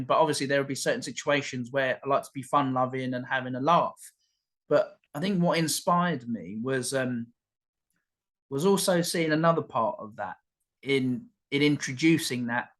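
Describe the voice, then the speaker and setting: A man's voice: mid-range voice, fairly bright tone. A man hosting a podcast over a video call, chatting casually and often restarting his sentences. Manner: rambling, repeats words and restarts, jokey asides. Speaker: podcast host. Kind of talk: casual conversational chat.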